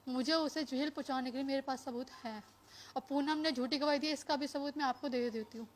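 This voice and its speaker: A woman's voice: high voice, steady tone, a little fast, slight background noise. A woman giving an impassioned, defiant public statement as a complainant, speaking emphatically and mixing in some English words. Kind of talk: impassioned spoken statement to media.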